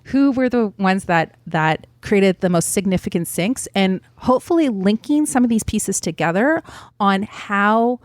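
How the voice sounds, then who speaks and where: A woman's voice: mid-range voice, animated, a little slow, dry recording. Chatty woman podcast host, dry close mic. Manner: chatty, thinks aloud with fillers and laughs. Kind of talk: casual explanatory podcast chat.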